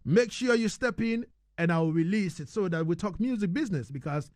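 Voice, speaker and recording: Male radio host, high voice, very dry sound, effusive slangy interviewing. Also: lively intonation, fairly quick, a little mellow, no echo. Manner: effusive, slangy, repeats phrases. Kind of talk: casual, enthusiastic radio interview.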